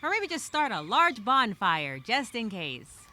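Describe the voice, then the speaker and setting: A woman playing an anxious stranded castaway, speaking a dramatic, halting, repetitive audio journal with expressive sighs. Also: on the high side, a little hiss or hum, exaggerated voice. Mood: weary, musing. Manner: expressive, halting, sighing, repeats herself. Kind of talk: dramatic first-person audio journal monologue.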